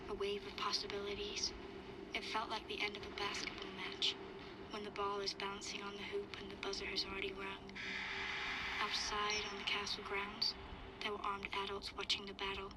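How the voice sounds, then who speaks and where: A woman's voice: medium pitch, completely flat delivery, normal speed, heavy background noise, close to an everyday voice. A woman playing a thoughtful young girl who recounts survival in a reflective, subdued way, as audio drama narration. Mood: grave, reflective. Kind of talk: scripted first-person audio drama narration.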